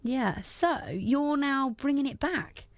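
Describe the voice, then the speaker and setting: A woman's voice: high voice, animated, slow, no room sound. Woman radio presenter, close dry sound. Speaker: radio presenter interviewing guests. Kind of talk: local radio sports interview.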